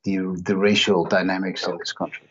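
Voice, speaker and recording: A man's voice: low-pitched, flat delivery, normal speed, a bit bright, breathy edge, muffled recording. A man from Denmark, a guest on an interview program, answering in a thoughtful, hesitant way with many restarts. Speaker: guest, human rights campaigner.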